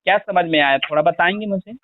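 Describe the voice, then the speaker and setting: A man making a long sales pitch on a phone call, reeling off figures at length and pressing the listener. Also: fast talker, no room sound. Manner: persistent, explains at length with long lists of figures. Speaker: scheme promoter on a phone call. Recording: tinny phone line, dry.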